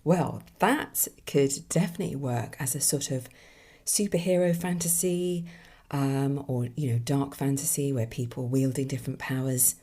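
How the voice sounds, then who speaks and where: A woman hosting a writing podcast, moving between casual musing and expressive story reading. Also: a bass voice, normal speed, breathy edge. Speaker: podcast host and author.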